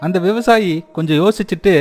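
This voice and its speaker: Higher-pitched male storyteller over music. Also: brisk, darkish tone. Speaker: narrator. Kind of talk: scripted storytelling narration.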